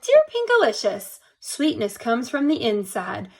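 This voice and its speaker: Woman voicing a sweet, high-pitched tooth fairy. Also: big pitch swings, measured pace, darkish tone, exaggerated voice. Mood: kindly advising. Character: kindly, wise tooth fairy writing a gentle note.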